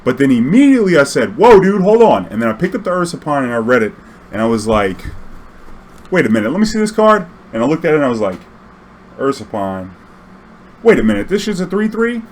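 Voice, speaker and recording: A man with a mid-pitched voice tells a personal story in a loose, casual style. He is slangy and animated, swears freely and acts out both sides of conversations. There is some steady noise behind him. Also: fairly quick. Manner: slangy, swearing, animated retelling with acted-out dialogue. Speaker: storyteller recounting his own tournament games. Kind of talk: casual spoken anecdote.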